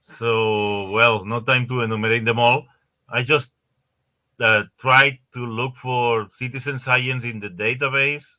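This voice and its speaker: Bright-toned man on a webinar panel. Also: mid-range voice, fairly even intonation. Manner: halting, repeats words. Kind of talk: answering questions on a video call. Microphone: video call.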